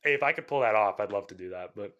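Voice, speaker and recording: A young man, a musician from Canada, talking casually in an interview, rambling with lots of fillers and quick laughs. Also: fairly low pitch, a bit bright, lo-fi sound. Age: young adult. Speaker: musician guest.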